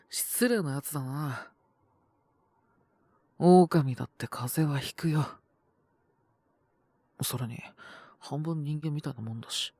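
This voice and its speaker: Guy doing a sick young wolf boyfriend, slow n husky, possessive teasing, up close romantic roleplay. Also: a little high, darkish tone, no echo, full character voice. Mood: teasing, sulky. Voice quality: husky, breathy, often near a whisper. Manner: languid, rough casual speech, teasing. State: sick with a cold, coughing (acted). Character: possessive young half-human wolf man, lovesick, teasingly mean. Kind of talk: intimate romantic audio roleplay for women listeners.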